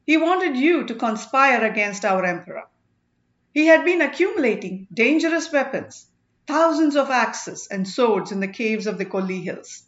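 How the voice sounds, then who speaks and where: A woman voicing a stern male elder who addresses a crowd in emphatic, deliberate phrases for an audiobook. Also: fairly high pitch, expressive, roomy sound, lo-fi sound, close to an everyday voice. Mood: stern, accusing.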